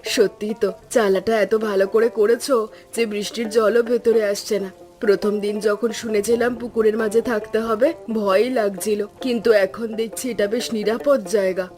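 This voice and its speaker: A caring middle-aged cartoon wife and mother, voiced by a woman, gentle and soothing. Her voice sits at a middle pitch, with soft music behind it. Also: fairly even intonation, normal speed, close to an everyday voice. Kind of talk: cartoon voice acting.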